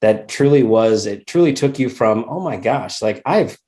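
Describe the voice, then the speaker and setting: A man's voice: medium pitch, lively intonation, moderate pace, mellow. A man co-hosting a podcast, introducing a guest with stumbling asides and asking questions. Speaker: podcast co-host. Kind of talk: podcast interview.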